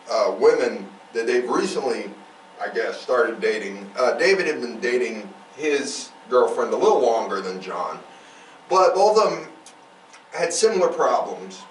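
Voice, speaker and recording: A man hosts a casual podcast in a rambling, explanatory style. His mid-pitched voice moves up and down a lot. It is picked up from a little distance in an echoey room. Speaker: podcast host. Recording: roomy echo, distant mic, faint noise.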